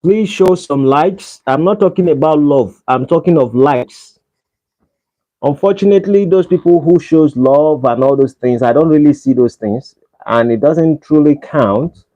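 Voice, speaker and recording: A man's voice: a little high, a little slow, warm tone. Nigerian man pleading with livestream viewers. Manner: pleading, repeatedly asking viewers for likes. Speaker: livestream host. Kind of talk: casual live-stream chat. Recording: dull lo-fi livestream audio.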